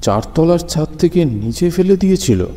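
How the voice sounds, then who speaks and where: A man's voice: a little high, expressive, moderate pace, dark tone. A man playing a bewildered, anxious fellow in an audio horror drama, asking urgently. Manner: urgent, questioning. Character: bewildered, anxious male kite flyer. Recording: long hall-like reverb.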